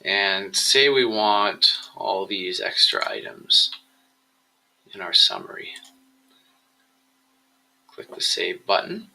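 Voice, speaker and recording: Man, slightly low pitch, very bright sharp tone, software tutorial presenter, measured step-by-step screencast narration. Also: gravelly, low-quality recording.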